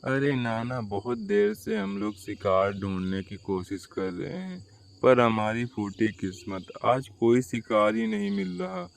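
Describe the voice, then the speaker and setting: A man plays the eldest brother crow of a children's cartoon, responsible and caring. His voice is fairly low and clear, with very slow delivery. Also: expressive. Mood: dejected. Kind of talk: Hindi children's cartoon story dubbing.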